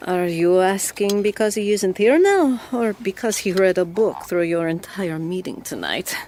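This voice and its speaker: Light background ambience surrounds an actress as an earnest wife in a radio drama. Her voice sits at a middle pitch, and she speaks formally and hesitantly, with quiet stubbornness underneath. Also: animated, moderate pace, slight background noise, close to an everyday voice. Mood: hesitant, guarded. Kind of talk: audio drama acting.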